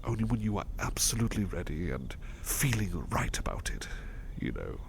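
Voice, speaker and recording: A man playing a low, gravelly, devoted butler. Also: very animated, normal speed, slight background noise. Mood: tender, hesitant. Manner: hesitant, gentle, courteous, stammering. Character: devoted, polite, history-loving male butler companion. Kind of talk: soft-spoken ASMR roleplay.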